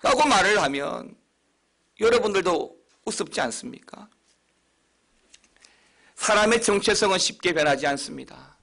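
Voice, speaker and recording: Busan-accented pastor preaching with high, lively pitch. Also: male voice, moderate pace, creaky, long pauses. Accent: Busan Gyeongsang. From Busan, South Korea. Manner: emphatic preaching with sudden high, loud stresses. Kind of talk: sermon.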